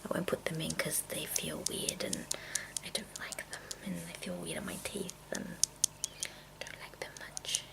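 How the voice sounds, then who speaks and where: A woman making a casual ASMR video, speaking in a breathy, mostly whispered voice with a halting, rambling delivery. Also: low voice, big pitch swings. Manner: hushed, unhurried, halting. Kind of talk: ASMR, rambling product show-and-tell.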